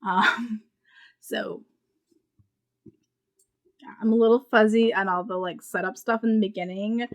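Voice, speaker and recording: A woman's voice: medium pitch, steady tone, measured pace. A woman reviewing books in a casual, informal way, thinking aloud in loose, rambling sentences. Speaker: book reviewer. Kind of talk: casual spoken book review.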